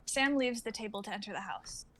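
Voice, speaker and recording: A woman's voice: mid-range voice, fairly quick, a bit bright. A young adult woman reading stage directions aloud in a dramatic script reading.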